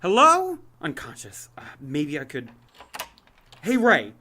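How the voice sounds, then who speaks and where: A kindly, awkward young man, voiced by a man hedges and stumbles and jokes at his own expense. His voice is bright and swings widely in pitch. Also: huge pitch swings, slow, heavily acted. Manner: hesitant, self-mocking.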